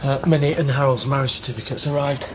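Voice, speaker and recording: An actor playing an earnest, probing grown son in a naturalistic radio drama. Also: male voice, mid-range voice, steady tone, quick pace, noisy background, close to an everyday voice. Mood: matter-of-fact. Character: earnest, probing grown son confronting his secretive father.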